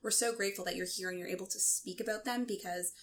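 A woman's voice: medium pitch, steady tone, fast talker. A woman hosting a casual podcast interview, speaking in an encouraging, supportive way and peppering her talk with "like". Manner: encouraging, supportive, heavy use of "like". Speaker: podcast host.

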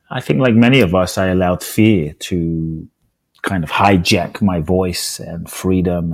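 Very deep, husky man. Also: a little mellow, no echo. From born in Ghana, raised in London. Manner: earnest, emphatic, repeats phrases for rhetorical effect. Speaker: podcast guest, speaker and author. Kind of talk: reflective personal storytelling interview.

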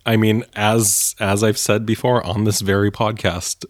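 Guy with a lowish voice cracking quick jokes in podcast chat, very dry mic. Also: flat delivery, no room sound. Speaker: podcast co-host. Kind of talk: casual chat.

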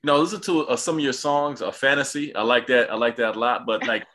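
A man's voice: medium pitch, fairly even intonation, fast, bright, forceful tone. A man interviewing a musician, enthusiastic and casual, stumbling over his words as he asks questions. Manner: enthusiastic, stammering restarts, casual slang.

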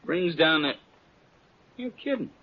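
An actor playing a chummy, slightly sly bartender in 1940s radio style, colloquial and hesitant. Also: male voice, a little high, expressive, measured pace. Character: chummy, folksy hotel bartender, a bit sly. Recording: muffled old radio recording.

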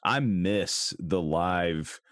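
A man hosting a podcast, chatting with upbeat enthusiasm and quick asides. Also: deep voice, a bit bright, no echo, studio quality. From Georgia, US. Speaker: podcast host and editor. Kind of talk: casual podcast chat.

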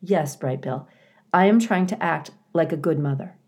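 A woman voicing a polite, earnest, literal-minded robot mother in a children's storybook, with measured phrasing. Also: sits low, darkish tone.

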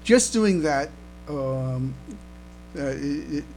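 Halting higher-pitched man, noisy recording. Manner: halting, repeats words. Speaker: podcast co-host. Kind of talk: casual podcast chat. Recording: steady background noise and hum.

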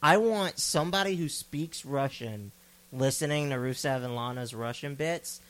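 A man's voice: mid-range voice, moderate pace. A man co-hosting a casual wrestling podcast, telling stories with sarcastic mock quotes and plenty of swearing. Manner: profane, animated storytelling with mock quotes. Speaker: podcast co-host.

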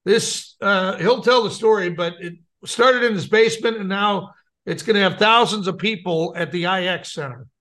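A man's voice: sits high, moderate pace, bright, forceful tone. A middle-aged American man co-hosting a podcast in casual chat, with a hesitant, rambling way of talking. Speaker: podcast co-host.

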